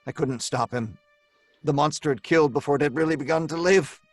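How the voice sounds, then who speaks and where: An actor playing a tormented, secretive scientist in an old-time radio drama, speaking in a grave, deliberate, dramatic way. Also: male voice, on the high side, no echo, soft background music, close to an everyday voice. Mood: grim confession. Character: tormented male scientist, guilt-ridden, secretive and defiant. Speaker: lead character and narrator.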